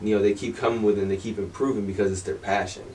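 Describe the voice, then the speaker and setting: A man's voice: lowish voice, level tone, rapid-fire, darkish tone, distant mic. A man hosting an interview, talking in an easygoing way, swearing casually and giving short affirmations. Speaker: interview host.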